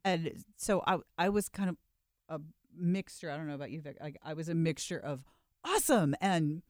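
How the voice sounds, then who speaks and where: A woman's voice: low-pitched, lively intonation, normal speed, a little mellow, no echo, studio quality. A middle-aged woman, a stage actress, chatting casually as an interview guest with wry, playful asides. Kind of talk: casual group interview chat.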